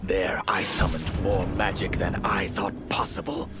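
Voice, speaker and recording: A weary, broken mage. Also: male voice, low-pitched, fairly even intonation, measured pace, heavy background noise. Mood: regretful. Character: broken, remorseful former high mage. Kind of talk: video game ending narration.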